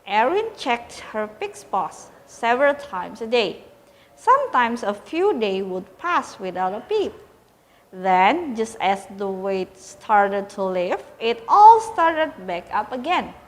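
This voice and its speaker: Slow, animated woman reading a story aloud. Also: on the high side. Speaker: story reader. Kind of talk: expressive children's read-aloud narration. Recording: reverberant room with faint noise.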